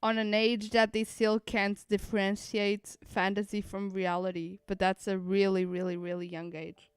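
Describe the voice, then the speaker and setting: Very clear-voiced woman streamer. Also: medium pitch, level tone, normal speed, no echo. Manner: reflective, repeats and rephrases points. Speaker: streamer reacting to a game. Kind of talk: casual live commentary.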